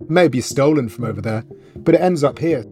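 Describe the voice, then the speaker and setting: A middle-aged man from Wales, a journalist and author, telling his story in a relaxed interview with wry asides and fillers. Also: medium pitch, moderate pace, gravelly, soft background music. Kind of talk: conversational interview.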